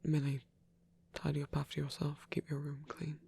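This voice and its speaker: A man performing a soft ASMR roleplay as a lonely, gentle old vampire priest, speaking haltingly and tenderly in formal words, breathy and often near a whisper. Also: fairly high pitch, completely flat delivery, a little hiss or hum. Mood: gentle, reassuring.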